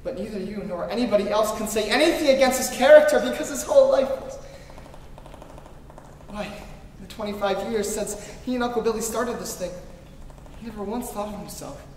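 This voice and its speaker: A man's voice: high voice, a little fast, darkish tone, natural voice. Man playing an earnest young idealist, echoing hall. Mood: impassioned. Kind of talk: staged old-time radio play acting. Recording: echoey hall from far off, noisy.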